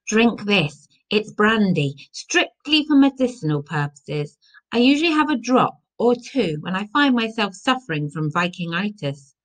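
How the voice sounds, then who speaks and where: A woman's voice: medium pitch, very animated, measured pace, voice pushed up, rough recording. A woman voicing a genteel, unflappable older married woman with a polite, rueful manner in a story. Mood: brisk, wry.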